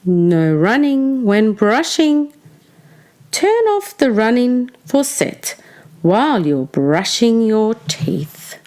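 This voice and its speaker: A woman reading a children's storybook aloud like a teacher, with expressive, deliberate phrasing for young listeners. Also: on the high side.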